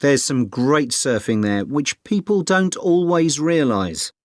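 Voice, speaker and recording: A man's voice: a little high, animated, slow pace, dry recording, clean studio sound. A man acting a friendly, experienced middle-aged surfer dad giving informative, encouraging advice in a scripted exam dialogue. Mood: helpful, enthusiastic.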